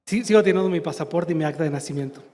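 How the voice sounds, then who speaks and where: A man from Mexico with a slight Mexican accent and a high voice gives a medical conference talk. He searches for words now and then and fills gaps with "este". A long reverb from a large hall carries his voice. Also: racing, mellow. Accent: slight Mexican Spanish. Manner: fluent but searching for Spanish words, fillers like "este". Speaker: conference speaker, surgeon.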